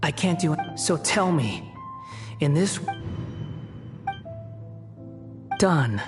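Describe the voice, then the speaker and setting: A woman's voice: sits low, animated, measured pace, music in the background. A woman voicing a bitter, haughty, androgynous young male puppet villain in a video game, with a sardonic, theatrical delivery. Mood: cool, resolute.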